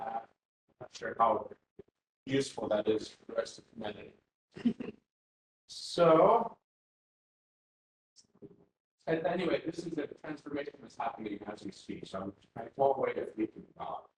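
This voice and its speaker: A man's voice: a little high, a little hiss or hum. A man from Finland giving an academic workshop talk, hesitant, with many fillers and restarts. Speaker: academic speaker. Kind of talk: conference talk. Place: university seminar room. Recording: roomy hall with faint ambience.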